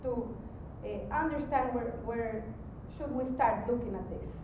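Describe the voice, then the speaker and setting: A woman giving an explanatory technical presentation as a conference speaker, pausing hesitantly mid-sentence. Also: lo-fi sound. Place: conference room, recorded from a distance. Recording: very echoey, distant mic, rumbling background noise.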